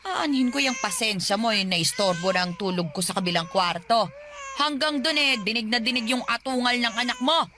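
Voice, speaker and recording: A woman's voice: a little high, expressive, moderate pace, background noise, lightly acted. An actress playing a cruel, haughty older mother-in-law in a Filipino radio drama, scolding with sarcasm and contempt. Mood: irritated scolding.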